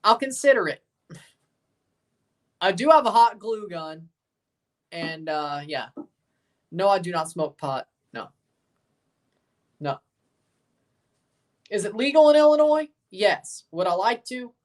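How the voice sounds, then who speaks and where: A man's voice: high voice, very animated, takes it slowly, long pauses, recorded from a distance. A man from Mississippi with a Southern accent who hosts a livestream and chats casually with viewers in a laid-back, rambling way. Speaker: livestream host reacting to a match. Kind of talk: casual livestream chat, answering viewer comments.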